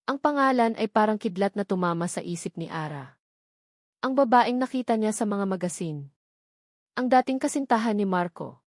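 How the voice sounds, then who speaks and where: A woman's voice: mid-range voice, animated, normal speed, no echo. Woman narrating a dramatic story, dry sound.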